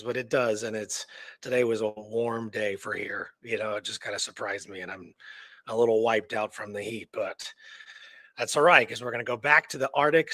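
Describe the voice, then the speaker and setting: A man's voice: medium pitch, pushed and bright, no echo. A man telling a history story in a casual, rambling way, repeating words for emphasis. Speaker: co-host telling a true crime history.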